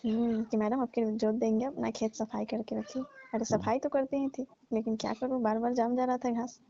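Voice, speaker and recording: Higher-voiced woman on a muffled phone call. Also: level tone, a little fast, gravelly, warm tone, no echo. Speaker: caller playing a farm owner. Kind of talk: casual comic phone conversation. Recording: dull lo-fi phone call recording.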